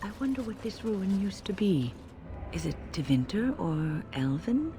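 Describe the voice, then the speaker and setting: Casting: inquisitive, gentle female adventurer, fantasy game, medium pitch with plenty of movement. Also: big pitch swings, a little slow, noisy background. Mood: curious wonder.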